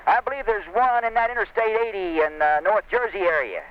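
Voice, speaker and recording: A man's voice: sits high, brisk, clear, resonant tone. A man playing a stranded long-haul trucker, polite and folksy, talking in CB slang over a radio. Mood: urgent. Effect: CB radio filter. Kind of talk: acted CB radio dialogue. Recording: muffled CB radio transmission.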